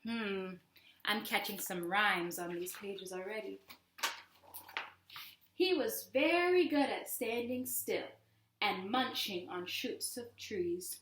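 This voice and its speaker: A woman reading a children's storybook aloud as a teacher would, with expressive, deliberate storytelling. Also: mid-range voice, a little hiss or hum. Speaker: read-aloud host, teacher. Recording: echoey room.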